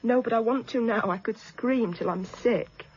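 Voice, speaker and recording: An actress playing a shaken but sharp-tongued British woman witness in a radio drama, hesitant and then bluntly defiant. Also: fairly high pitch, moderate pace, dull audio, close to an everyday voice.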